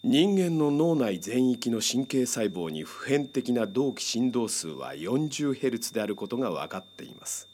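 An actor playing a controlling male psychiatrist in a radio drama, speaking in a measured, formal, hypnotically coaxing way. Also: medium pitch, sing-song, a little mellow. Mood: hypnotic, controlled.

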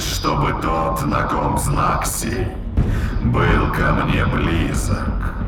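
Audio drama villain: taunting evil spirit, gravelly rasp, very drawn-out delivery, distant beneath music. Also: male voice, a bass voice, heavily acted. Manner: slow, archaic, taunting pronouncements. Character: malevolent demonic spirit in a chimpanzee, gloating and menacing. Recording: heavy reverb, distant, loud music behind.

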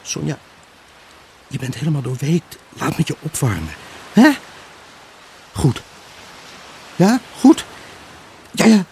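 An actor playing a lonely, grieving husband in an intimate radio drama monologue, brooding, halting and emotionally raw. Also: male voice, a little high, huge pitch swings, gravelly, warm tone, slight background noise, heavily acted. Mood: desperate, pleading. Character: grieving, haunted husband, lonely, obsessive and pleading. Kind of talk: Dutch radio drama monologue, intimate first-person narration.

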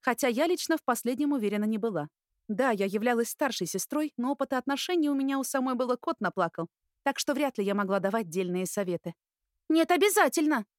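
Woman, high voice, audiobook narrator, first-person story reading, dry close sound. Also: fast, dry recording.

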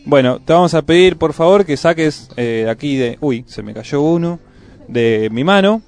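An Argentine man chats his way through radio hosting. His voice sits a little high, and soft music plays faintly under it. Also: lively intonation, moderate pace. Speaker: radio co-host. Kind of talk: casual community radio presenting.

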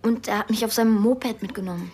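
A woman's voice: mid-range voice, fairly even intonation, fast talker, darkish tone, lightly acted. A woman playing a guarded, terse young Pakistani girl in a radio drama.

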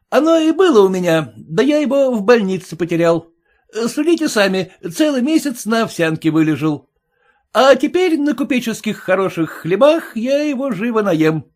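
A man voicing an eager, ambitious footman who flatters his employer and adds servile endings to his words. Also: extremely high pitch, pitched above the performer's own voice. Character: fawning ambitious male footman, puffed-up.

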